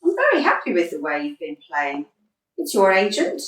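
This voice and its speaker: A woman's voice: fairly low pitch, wildly swooping pitch, moderate pace, fairly bright tone. A woman acting a blunt, exasperated female football manager in a radio play, scolding and emphatic. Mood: firm, persuasive. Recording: roomy, distant microphone.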